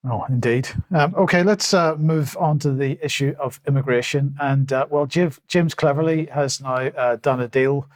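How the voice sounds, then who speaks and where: A man's voice: medium pitch, moderate pace, hardly any pauses. A British man co-presenting a news show, speaking hesitantly with fillers. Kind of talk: casual news commentary.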